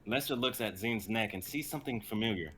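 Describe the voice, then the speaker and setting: A man's voice: lowish voice, brisk, a bit bright, breathy edge. A young man narrating an amateur fantasy script in dramatic storytelling style. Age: young adult. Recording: faint background noise, live stream.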